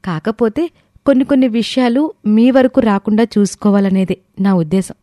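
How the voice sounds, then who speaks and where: A woman's voice: fairly high pitch, normal speed, mellow, no echo, close to an everyday voice. A woman playing a composed, earnest young woman in a family story. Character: composed young wife and daughter in a family tale. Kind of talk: acted story dialogue.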